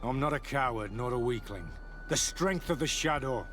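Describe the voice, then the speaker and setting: An actor playing a guarded, dutiful young soldier, stiff, deferential and defensive in video game dialogue. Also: male voice, mid-range voice, animated, moderate pace, fairly bright tone, faint music behind, natural voice.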